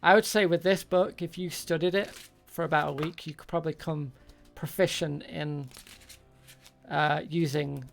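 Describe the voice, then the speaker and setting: Creaky voiced game master doing shopkeeper roleplay, kinda halting, mid pitch. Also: fairly even intonation, soft background music. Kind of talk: improvised tabletop roleplay, speaking as a shopkeeper.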